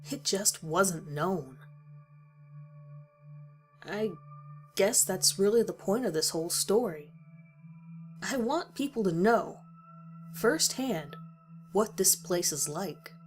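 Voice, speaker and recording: A woman's voice: medium pitch, expressive, slow, long pauses. A woman reading a first-person horror story as a dramatic scripted narration. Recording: music behind the voice. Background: music.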